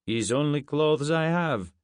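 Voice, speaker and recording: A man's voice: a little high, animated, unhurried, dry recording, pitched above the performer's own voice. A big, crude Russian guard, with a thick accent. He talks in blunt broken English and spaces out his phrases, jovial and unbothered, recorded close and dry. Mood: matter-of-fact.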